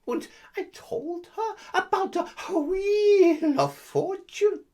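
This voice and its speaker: A woman's voice: very high voice, slow, mellow. A woman playing a lazy, boastful self-made guru. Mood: smug. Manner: drawling, mock-grand storytelling with invented words. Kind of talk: comedic character monologue.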